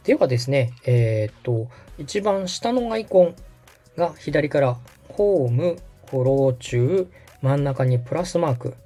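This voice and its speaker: Male podcast instructor, polite and explanatory, with a soft-edged mellow tone and a slightly husky grain. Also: mid-range voice, animated, a little slow, soft background music. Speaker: podcast host and radio director, giving instructions. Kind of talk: step-by-step app tutorial over background music.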